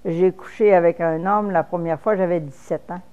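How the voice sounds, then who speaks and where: A woman's voice: on the low side, fairly even intonation, moderate pace, mellow, clear, resonant tone, muffled recording. A woman in her fifties from Quebec with a Québécois French accent, speaking frankly in a personal interview, with false starts. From Quebec, Canada.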